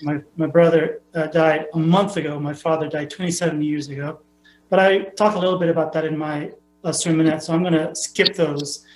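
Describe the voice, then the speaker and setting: A man's voice: on the high side, steady tone, fairly quick, roomy sound, faint music behind, poor audio. A middle-aged man, a pastor, speaking reflectively and thinking aloud.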